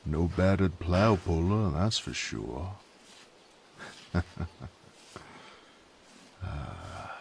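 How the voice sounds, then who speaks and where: A man playing a gruff, sardonic, proud knight in an audio roleplay, with a drawl, folksy slang and a husky, gravelly voice. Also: low-pitched, dark tone, slight background noise, heavily acted. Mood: tender, amused. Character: gruff sardonic rough-bred male knight, proud and hot-tempered. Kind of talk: character audio roleplay acting.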